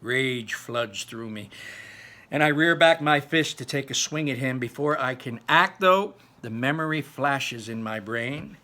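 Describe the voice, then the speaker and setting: A man reading a novel aloud as animated storytelling, emphatic and dramatic, with asides to his listeners. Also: medium pitch, unhurried. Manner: emphatic, dramatic, repeats phrases for effect. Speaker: read-aloud teacher narrating a novel.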